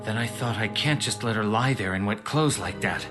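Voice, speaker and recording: An actor playing an earnest, awkward young rebel officer in an anime audio drama, with a hesitant, sincere, easily flustered delivery. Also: male voice, lowish voice, moderate pace, music in the background, lightly acted. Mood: confiding, earnest. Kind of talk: anime dub audio drama acting, confiding monologue.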